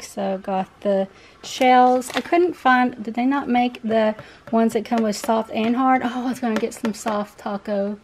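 Unhurried young woman chatting, noisy home recording. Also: medium pitch, a little mellow. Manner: chatty, rambling, self-correcting. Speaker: vlogger, parent showing a grocery haul. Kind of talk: casual unscripted product show-and-tell. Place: indoors in a home kitchen.

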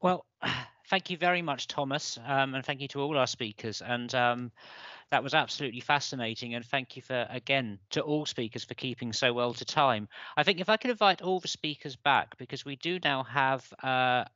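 Male panel chair, bright and forceful, informal with fillers, dry muffled call audio. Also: medium pitch, normal speed, no echo. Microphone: video call.

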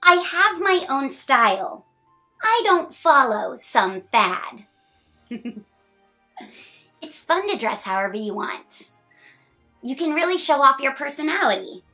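A woman in her thirties reading a picture book aloud to children in an expressive, encouraging, sing-song way, with chatty, smiling asides. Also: high voice, slow pace, pauses often. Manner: giggly, smiling delivery. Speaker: children's read-aloud host. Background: music.

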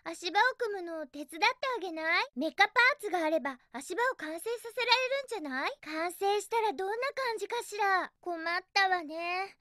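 Super high girly cartoon hedgehog girl for a game, perky n bossy, real bright, expressive. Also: brisk, few pauses, dry recording, studio quality. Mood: concerned, thoughtful. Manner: feminine, energetic, expressive, girlish. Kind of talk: Japanese video game character voice acting.

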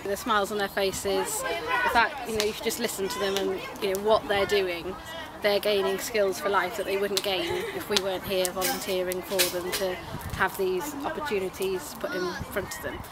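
A young Welsh woman chats about guiding in a mid-pitched voice. She is recorded outdoors, with a loud crowd clearly heard behind her. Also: flat delivery, normal speed. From Cardiff, Wales. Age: young adult. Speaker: Girl Guide leader. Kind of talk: documentary interview. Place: outdoors by a wall.

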